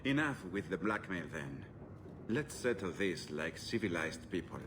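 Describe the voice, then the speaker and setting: An actor playing a theatrical slaver crime boss in a video game, courtly and mocking in manner. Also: male voice, sits low, big pitch swings, measured pace, background noise. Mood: coolly threatening.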